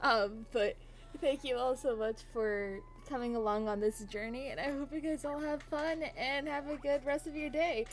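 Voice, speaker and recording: A woman's voice: high voice, fairly even intonation, moderate pace, a little hiss or hum. A woman livestreamer chatting casually to her game stream, giggly and rambling, often repeating her phrases.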